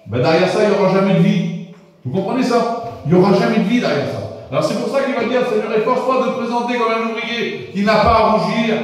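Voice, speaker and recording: A man's voice: high-pitched, fast. A man from France preaching an informal sermon to his congregation, colloquial and repetitive, often checking that the room understands. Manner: colloquial, repetitive, asks the room "vous comprenez". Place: church hall. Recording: echoey church hall from far off.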